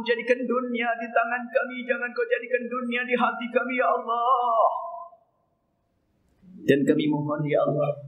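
A middle-aged man leading an impassioned Friday sermon prayer, pleading fervently and swinging from low murmurs to shouted cries, recorded on a headset mic at a mosque pulpit. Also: narrow, tinny audio. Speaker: Friday preacher.

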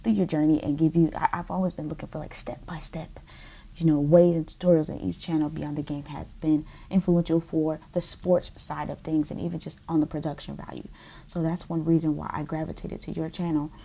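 A woman's voice: sits low, flat delivery, a little fast, creaky, warm tone. A young adult woman from the United States hosting a podcast interview, upbeat and sometimes searching for words, on an earbud mic over a video call from home. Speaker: podcast host. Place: home room. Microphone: earbud headset mic on a video call.

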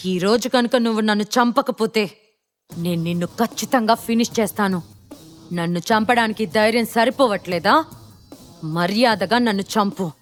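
A woman's voice: on the high side, pitched above the performer's own voice, lightly acted. A woman voicing an arrogant, vengeful rich male villain who makes haughty, taunting threats in an audio story.

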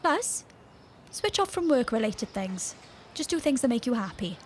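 A woman's voice: on the high side, big pitch swings, moderate pace, slight background noise. A woman playing a caring, breezy young British friend in an audio drama, chatty and reassuring. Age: young adult. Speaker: actor in a fiction podcast. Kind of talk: naturalistic audio drama dialogue.

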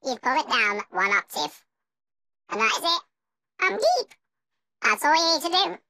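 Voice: high voice, very animated, measured pace, sharp tone, no echo, heavily acted. A performer voicing a goofy, crude cartoon cup boy through a sped-up chipmunk voice changer, rambling in a silly way. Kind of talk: fan-made cartoon voice acting.

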